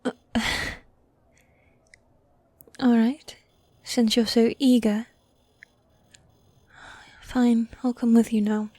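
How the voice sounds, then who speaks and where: A woman voicing a teasing, tender demon girlfriend in a medieval fantasy ASMR roleplay, speaking in soft breathy whispers with formal, old-fashioned phrasing. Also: fairly high pitch, little pitch movement, very slow, long pauses, dry recording. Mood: affectionate teasing. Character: gothic demon girlfriend, teasing, tender, dominant yet needy.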